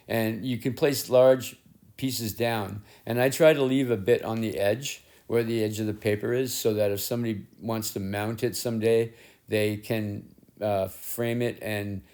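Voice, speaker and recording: Middle-aged man, rough raspy voice, lowish pitch, loose rambling artist narration, self-deprecating. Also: a little mellow. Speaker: collage artist and painter. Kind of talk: casual narration while working. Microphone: head-mounted action camera.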